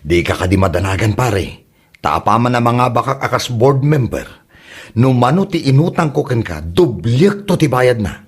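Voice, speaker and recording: A man acting a boastful, husky, animated schemer. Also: medium pitch, normal speed. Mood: cocksure. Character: boastful, cash-strapped man campaigning for village board member. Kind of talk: Ilocano comedy drama acting.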